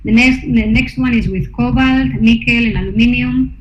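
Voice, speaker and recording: A woman's voice: fairly high pitch, fairly even intonation, normal speed. A woman artist presenting her research to slides, hesitant, with many fillers and restarts. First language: not Norwegian. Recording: echoey room, dull sound, faint noise.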